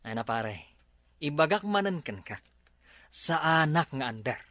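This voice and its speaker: Man as an earnest Ilocano family man, animated pitch. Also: unhurried, no room sound, heavily acted. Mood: resigned. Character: earnest, dutiful village husband and father. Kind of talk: comedy skit acting.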